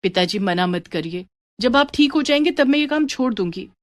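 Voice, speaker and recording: A woman's voice: on the high side, expressive, moderate pace, dry recording, clean studio sound, pitched above the performer's own voice, lightly acted. A woman voicing an earnest, dutiful college-age daughter in a story, eager and reassuring. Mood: pleading, determined.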